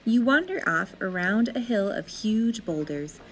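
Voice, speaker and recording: A woman reading a children's story aloud as an expressive narrator, with deliberate, dramatic phrasing. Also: mid-range voice, slight background noise.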